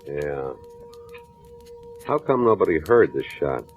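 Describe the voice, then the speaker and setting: An American actor playing a hard-boiled, impatient police captain who badgers with gruff, clipped questions in an old-time radio crime drama. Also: male voice, a bass voice, sing-song, slow pace, soft background music, heavily acted. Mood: frustrated. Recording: tinny, band-limited vintage radio recording.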